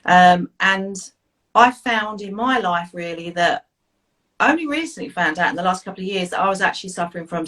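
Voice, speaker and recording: A woman's voice: mid-range voice, fairly bright tone, low-quality recording. A middle-aged woman hosting a live interview over a video call, upbeat and enthusiastic. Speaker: host, therapist and coach.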